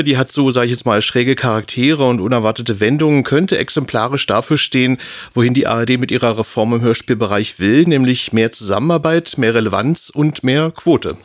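A man's voice: mid-range voice, dry recording. Dry-sounding male studio reporter. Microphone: close studio microphone.

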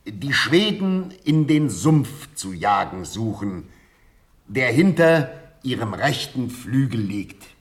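An actor playing a formal, methodical field marshal in a classical German radio play, speaking in measured, emphatic dictation. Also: male voice, medium pitch, sing-song.

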